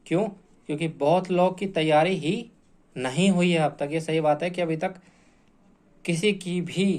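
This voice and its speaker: A young man giving an informal point-by-point lesson as an online exam-prep host, repeating himself and often checking in with listeners. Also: a little high. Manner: repetitive, often checking in with "okay" tags.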